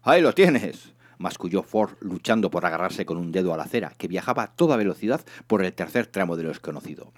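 A man narrating a comic story in a theatrical, expressive scripted reading. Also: sits low, fast talker, few pauses. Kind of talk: dramatised comic audiobook narration.

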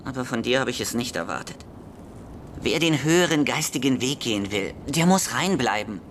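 An actor playing a lonely, agitated schoolboy seeker in a radio drama, pleading urgently and earnestly. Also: male voice, medium pitch, expressive, normal speed, fairly bright tone, slight background noise, lightly acted. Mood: fervent, earnest. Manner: urgent, hasty, pleading, confiding. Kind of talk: German literary radio drama.

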